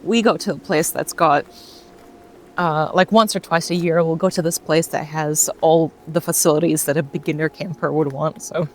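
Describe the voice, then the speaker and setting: A woman's voice: sits low, normal speed, slight background noise, poor audio. A woman in her forties, from Russia, with a mostly American accent slightly shifted by years in New Zealand, chatting casually and laughing often as she walks outdoors. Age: 40s. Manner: relaxed, chatty, laughs often, many fillers. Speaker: vlogger. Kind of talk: casual rambling chat on a walk. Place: outdoors on a bush walk.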